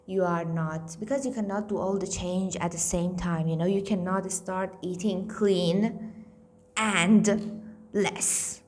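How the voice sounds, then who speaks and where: Blunt Indian-accented woman, slow talk. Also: lowish voice, a little mellow, a little hiss or hum. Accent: Indian-tinged English. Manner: candid, blunt, swears freely, self-mocking. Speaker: nutrition coach. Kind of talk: casual weight-loss vlog.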